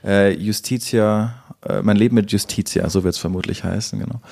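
A man's voice: on the low side, steady tone, moderate pace, creaky, darkish tone. A man chatting casually as the host of a podcast. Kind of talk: casual chat.

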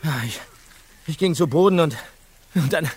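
An actor playing an earnest, observant teenage boy detective in a radio play, recounting details haltingly with repeated words. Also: a little high, animated, breathy edge. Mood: shaken. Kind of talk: German radio play acting.

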